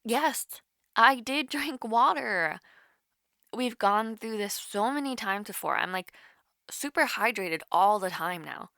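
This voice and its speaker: Female actor as a nervous young student in a romantic audio roleplay: lively pitch, hesitant rambling, very dry close sound. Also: slow pace, dry recording, exaggerated voice. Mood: flustered, chatty. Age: young adult. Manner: awkward, rambling, hesitant with many fillers. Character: nervous, rambling young woman student on a call with her online crush. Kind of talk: naturalistic romantic audio roleplay, casual one-sided call.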